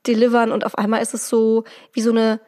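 A woman's voice: a little high, monotone, brisk, mellow. A woman hosting a casual interview, chatty and asking follow-up questions. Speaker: host.

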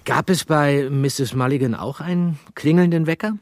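A man's voice: a little high, normal speed, close to an everyday voice. A man playing a thoughtful, sensitive teenage detective sidekick in a German audio drama, with an inquisitive, conversational delivery. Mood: curious.

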